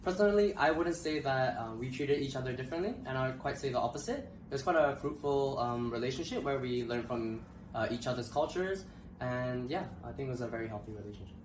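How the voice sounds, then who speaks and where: A man's voice: mid-range voice, animated, quick pace, a little hiss or hum. A young man from Malaysia talking in a casual panel discussion, hesitant and full of filler sounds. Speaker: co-host. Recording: roomy echo, mic at a distance.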